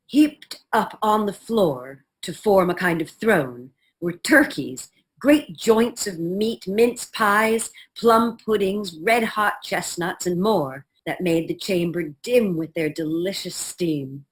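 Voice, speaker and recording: Low-voiced woman narrator. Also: animated, slow pace. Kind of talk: scripted radio play narration.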